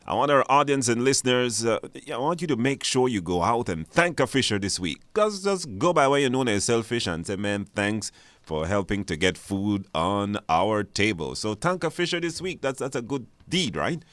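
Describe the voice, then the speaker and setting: A man's voice: mid-range voice, expressive, normal speed, fairly bright tone, no room sound. A man hosting a live radio show in a conversational way, repeating phrases for emphasis.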